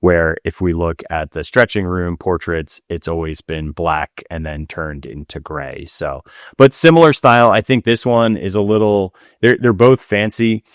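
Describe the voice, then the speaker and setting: A man's voice: deep voice, normal speed, dry recording, studio quality. A man co-hosting a casual talk show, chatting through listener questions in conversation. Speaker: podcast co-host.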